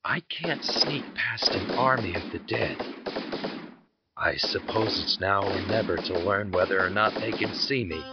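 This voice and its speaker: A man playing a wary, frightened soldier in an audio drama, speaking in a halting, brooding way. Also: low voice, vocal fry. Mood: anxious, reasoning. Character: wary, frightened male soldier reasoning his way out. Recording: dull, lo-fi sound under loud music.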